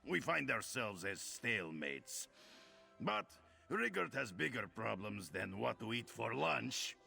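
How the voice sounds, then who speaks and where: An actor playing a brash, cranky Nord warrior in a fantasy video game, gruff and grumbling. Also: male voice, mid-range voice, very animated, slow pace, bright, forceful tone, faint music behind, heavily acted. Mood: grumbling, matter-of-fact. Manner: gruff, grumbling, talks in third person.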